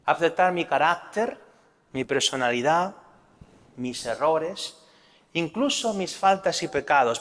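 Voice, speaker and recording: A man's voice: a little high, a bit bright, long reverb tail. A man from Spain with a Spanish accent, a priest giving a sermon in an earnest teaching tone, often asking rhetorical questions.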